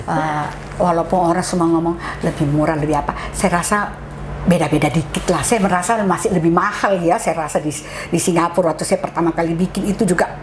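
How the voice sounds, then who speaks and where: An older woman, a cancer survivor guest, telling her story in a casual, halting way, acting out what others said to her. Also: fairly low pitch, background noise. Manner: chatty, halting, lively quoted asides.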